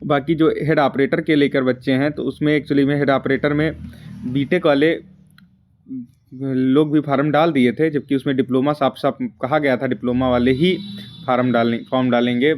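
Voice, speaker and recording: Young guy, mid pitch, explaining stuff informally for a video, repeats points to drive them home. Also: steady tone, moderate pace, darkish tone. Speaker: exam-update video presenter. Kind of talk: informal explanatory commentary on recruitment results.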